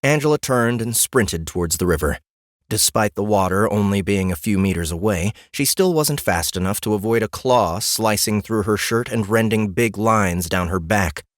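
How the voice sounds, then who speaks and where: A man narrates a fantasy audiobook in a low voice. The reading is scripted storytelling, captured close and very dry on a studio mic. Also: moderate pace, no echo.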